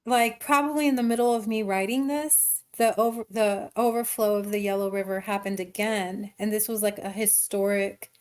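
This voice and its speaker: A woman's voice: mid-range voice, fairly even intonation, clear, resonant tone. Hesitant woman in casual online discussion. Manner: hesitant, informal, halting explanations. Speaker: screenwriter. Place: online meeting.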